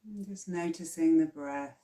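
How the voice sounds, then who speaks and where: A woman teaching yoga nidra, guiding relaxation in a calm, soothing, hushed way with long pauses. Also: deep voice, echoey room. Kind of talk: slow guided relaxation meditation.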